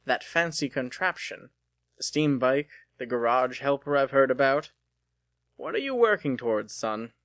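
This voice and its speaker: A man's voice: medium pitch, lively intonation, measured pace, a bit bright, dry recording. A stern, imposing wealthy father in a storybook tale, voiced by a male reader. He speaks with commanding, businesslike authority and firmly varied intonation, in a very dry recording. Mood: probing. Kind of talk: dramatic audiobook character voice.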